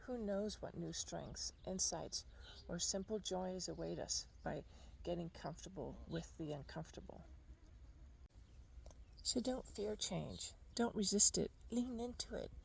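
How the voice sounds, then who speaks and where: A woman born in Argentina, reflecting in a thoughtful, unhurried personal monologue while sitting outdoors on a bench. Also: on the low side, animated, gravelly, slight background noise, poor audio. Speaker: podcast host.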